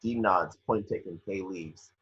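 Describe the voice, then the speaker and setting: Man as table-read narrator, husky and low, scripted action lines, muffled, close dry home audio. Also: measured pace, a bit bright, no echo. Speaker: narrator reading action descriptions. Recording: dry, dull lo-fi home recording.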